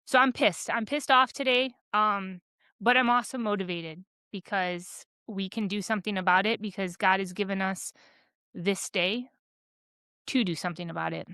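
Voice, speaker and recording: A woman's voice: mid-range voice, fairly even intonation, moderate pace, sharp tone, clean tone, dry recording. Young woman on a podcast, blunt and fired up, swears a lot, clean dry voice. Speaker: podcast co-host. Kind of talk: candid casual chat.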